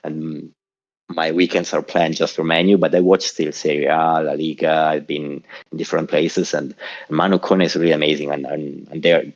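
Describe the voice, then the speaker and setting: A man's voice: rumbling low, flat delivery, normal speed, highs cut off. A man from southern Switzerland whose first language is Italian, calling in to a show and chatting casually in a rambling way, with many restarts. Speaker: caller. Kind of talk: casual call-in chat. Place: home in Zurich.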